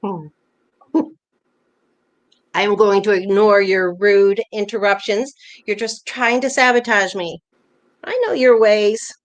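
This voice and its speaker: A woman's voice: medium pitch, fairly even intonation, slow pace, long pauses, close to an everyday voice. A woman playing a playful, bossy older wife reminiscing fondly with a spouse in a staged play reading. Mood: teasing. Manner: teasing, nostalgic, conversational.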